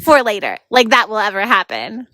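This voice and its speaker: Female storybook reader, bright and animated, very varied pitch. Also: moderate pace, no room sound. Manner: animated, acts out the narration. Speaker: volunteer reader of a children's novel. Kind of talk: expressive storybook read-aloud.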